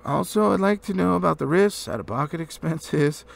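A man voicing an eager, flustered little male hobbit in a squeaky, excitable manner in a storybook reading. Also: normal speed, creaky. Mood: flustered, prudent. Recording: faint background noise.

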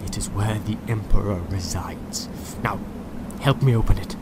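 An actor playing a controlling, condescending agent of a dystopian ruler in an audio drama, speaking gravely and deliberately. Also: male voice, lowish voice, heavy background noise, close to an everyday voice. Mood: menacing, reverent.

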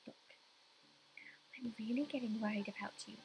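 Woman acting a hushed, concerned saleswoman. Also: on the high side, normal speed, a little hiss or hum, close to an everyday voice, poor audio. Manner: hushed, firm, reasoning. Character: composed, concerned young saleswoman colleague, Rose.

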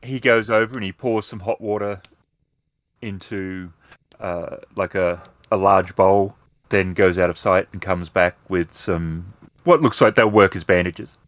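A man's voice: sits low, unhurried, a bit bright, no echo. A man improvising narration as the storyteller of a tabletop role-playing game.

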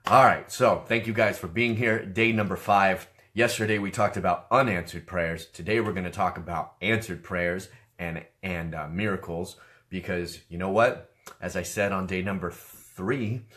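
A man in his thirties, hoarse and sick with a cough, excitedly sharing a personal testimony from a small room on a webcam. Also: sits low. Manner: animated, gestures with his hands. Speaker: online ministry host. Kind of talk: personal testimony, casual preaching. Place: small room, seated in a leather chair.

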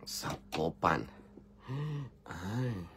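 A man's voice: low-pitched, sing-song, extremely slow, background noise. A man in his forties with a Mexican accent and a cold, chatting casually and swearing freely as he greets viewers while doing makeup at home on a phone camera. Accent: Mexican Spanish. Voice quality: gravelly. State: has a cold. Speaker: makeup vlogger. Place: indoor home makeup room.

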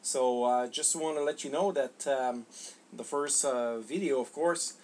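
A middle-aged man from Canada talking as an amateur radio operator in a halting, polite way. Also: mid-range voice, a little mellow. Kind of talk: unscripted ham radio exchange. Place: small home radio room.

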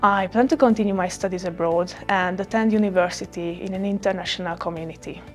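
A woman's voice: mid-range voice, fast, soft background music. A teenage girl from Hungary giving an upbeat, articulate self-introduction as a student on a lapel mic. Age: teens.

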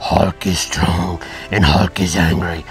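A man's voice: low-pitched, little pitch movement, slow pace, soft background music, pitched below the performer's own voice. A man voicing a huge, angry, simple-minded brute who roars and talks in broken grammar. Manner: broken grammar, gruff roaring. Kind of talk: toy play voice acting.

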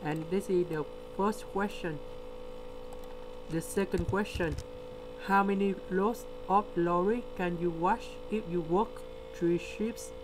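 Brief: male tutor, slow deliberate walkthrough, mains hum under the voice. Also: high voice. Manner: slow, step-by-step explaining with pauses. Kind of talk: screen-recorded math lesson. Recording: steady electrical hum behind.

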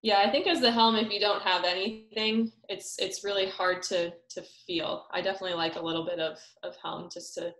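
A woman's voice: mid-range voice, fairly even intonation, a little fast, hardly any pauses, a bit bright. A young woman presenting a sailing seminar over a video call, casual with many fillers. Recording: roomy echo on call audio.

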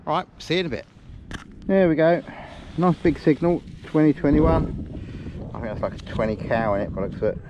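A man's voice: a little high, normal speed. A middle-aged man chatting casually and informally, vlogging outdoors in an open field. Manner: informal, colloquial, matey. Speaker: metal detecting vlogger. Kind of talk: casual vlog chat and commentary. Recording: windy outdoor noise on action camera.

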